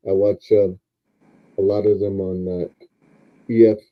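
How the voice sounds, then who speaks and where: A man's voice: fairly low pitch, level tone, a little slow, lo-fi sound. A retired man in his seventies hosting a casual live stream, rambling with many fillers and restarts. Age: 70s.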